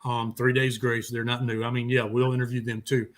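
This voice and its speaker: A man's voice: medium pitch, level tone, brisk. A talkative man co-hosting a music talk show, chatting in a casual, rambling way with blunt swearing. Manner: foul-mouthed, blunt, talkative.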